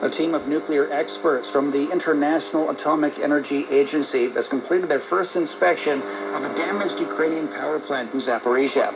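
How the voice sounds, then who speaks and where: A man's voice: on the high side, fairly quick. A man reading scripted news as an anchor, heard on a very noisy, dull shortwave radio broadcast.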